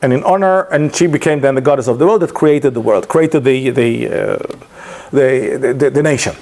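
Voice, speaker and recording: A man's voice: mid-range voice, moderate pace. A man giving an informal lecture, telling a story with many hesitations and restarts, English not his first language. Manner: animated storytelling with laughs. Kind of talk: informal lunchtime talk.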